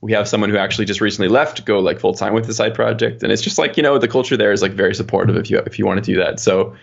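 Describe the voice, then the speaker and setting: A man's voice: fairly low pitch, racing, gravelly, lo-fi sound. A man chatting casually as an interview guest, explaining his work as an engineer in a rambling, informal way. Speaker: podcast guest, software engineer.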